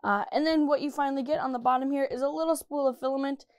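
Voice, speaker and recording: A young woman with a slightly hoarse voice chatting casually through a product review, full of ums and uhs, recorded on a studio mic in a small room. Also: sits high, steady tone, quick pace, a little mellow. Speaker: 3D printing reviewer. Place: small indoor room with 3D printers. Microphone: studio mic with pop filter.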